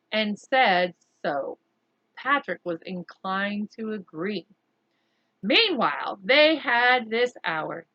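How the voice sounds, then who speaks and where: A woman reading a chapter book aloud to children in an expressive, deliberate storyteller's way. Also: medium pitch, dry recording, dull audio.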